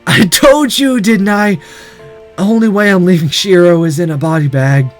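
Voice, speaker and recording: Visual novel boy hero, male reader: high voice, defiant, cocky. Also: normal speed, faint music behind, close to an everyday voice. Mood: cocky, determined.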